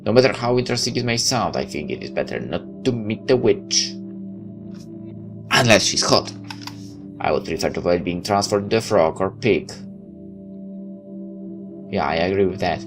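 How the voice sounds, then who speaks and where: A man's voice: sits low, animated, normal speed, pauses often. A man doing a let's play, reading a visual novel aloud with wry, joking asides and a dramatic delivery that sometimes stumbles. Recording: music behind the voice. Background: game music with guitar.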